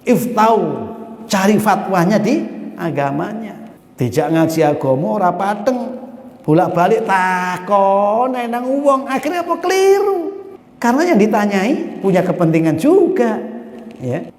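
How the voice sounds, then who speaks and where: A male preacher with a Javanese accent gives a lively sermon in a high-pitched voice. He explains verses phrase by phrase and slips into other people's voices to act out questions. The sound rings with a long reverb, as in a large hall. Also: a little slow. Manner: lively storytelling, mixing in Arabic and Javanese phrases, mimics questioners. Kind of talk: Islamic study sermon. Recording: echoey hall recording.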